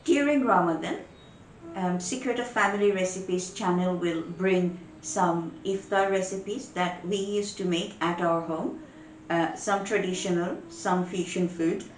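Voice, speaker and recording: A woman from Bangladesh hosting a home cooking show, explaining each step slowly and carefully. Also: lowish voice, slight background noise, low-quality recording.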